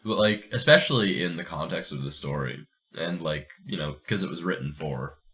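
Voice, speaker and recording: Very deep-voiced man reading aloud. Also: moderate pace, a bit bright. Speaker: podcast host reading a story aloud. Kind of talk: dramatic story narration and casual commentary.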